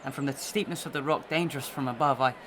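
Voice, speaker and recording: A determined gentleman played by a man in a Victorian audio melodrama. His voice sits at a middle pitch, with formal, methodical phrasing and a dramatic storyteller's weight, full of suspicion. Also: fast, noisy background, lightly acted. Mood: urgent, grave.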